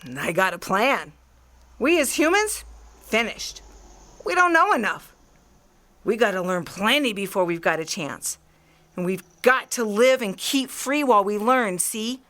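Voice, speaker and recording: Woman acting a fanatical, bright-voiced soldier woman. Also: medium pitch, lively intonation, unhurried. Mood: defiant. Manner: folksy colloquial, cocky, ranting. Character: fanatical, scheming artillery woman surviving a Martian invasion. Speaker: acting class student. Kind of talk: audio drama acting.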